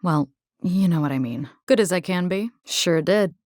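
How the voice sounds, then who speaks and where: An actress voicing a tough, caring young military rescue engineer in a video game, salty, wry and resolute. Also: female voice, fairly low pitch, moderate pace, a little mellow, no echo, studio quality, lightly acted. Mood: wry, bantering. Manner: salty, plain-spoken, wry. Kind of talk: scripted video game character voice lines.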